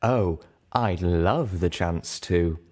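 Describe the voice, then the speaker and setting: A man voicing a female unicorn radio DJ in an audiobook, speaking in a smooth, confiding, flirtatious manner. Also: low voice, extremely slow, darkish tone. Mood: purring, flirty.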